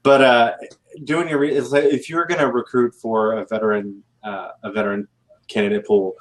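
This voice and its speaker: A man's voice: mid-range voice, fairly even intonation, normal speed, poor audio. A man talking casually as a guest on a recruiting panel, rambling and correcting himself as he goes. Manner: rambling, self-correcting, laid-back.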